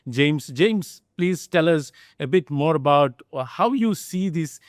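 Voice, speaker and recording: A man's voice: a little high, animated, measured pace, no room sound, studio quality. A middle-aged man hosting a business podcast, introducing guests earnestly with many "uh" fillers. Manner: earnest, with frequent "uh" fillers and "really". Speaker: podcast host, company CEO.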